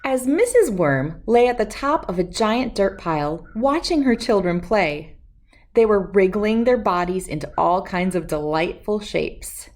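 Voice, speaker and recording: Animated woman reading a children's story. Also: mid-range voice, unhurried. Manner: expressive, addressing listeners as friends. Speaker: storybook reader and host. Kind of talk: read-aloud storytelling for children.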